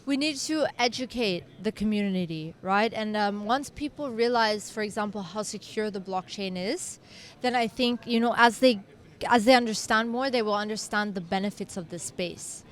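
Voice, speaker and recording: A woman's voice: mid-range voice, moderate pace. A woman hosting an interview at an event, asking questions enthusiastically and with many fillers. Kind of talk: casual interview.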